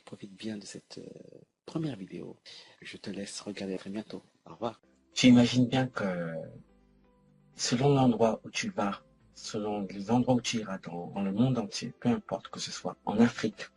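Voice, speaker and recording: A man's voice: on the low side, a little mellow, low-quality recording. A man hosting an educational video, explaining informally to the viewer in a hesitant way, often repeating and correcting himself. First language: French. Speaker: host of an educational video series.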